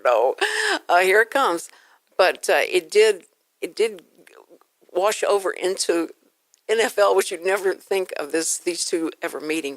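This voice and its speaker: A woman with a slightly low voice hosts a casual talk show. She tells stories in an animated, conversational way, and the sound around her is very dry. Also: no echo.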